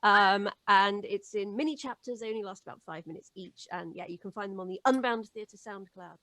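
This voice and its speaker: A woman's voice: mid-range voice, fairly quick, bright, forceful tone, dry recording, rough recording. A middle-aged woman chatting casually into a studio mic at home on a group video call. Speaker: voice actor and podcast cast member. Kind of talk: casual chat. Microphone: close studio mic on a video call.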